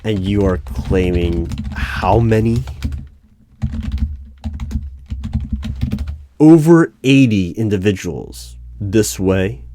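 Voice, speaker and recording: A man performs an uneasy hiring manager from a secret bureau in an audio roleplay. His voice is low and he talks very slowly, with halting pauses and a disbelieving, guarded manner. Keyboard typing sounds behind him. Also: warm tone, slight background noise. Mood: incredulous, uneasy. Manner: halting, pausing, incredulous. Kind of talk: one-sided ASMR audio roleplay interview. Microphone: close microphone with keyboard typing behind.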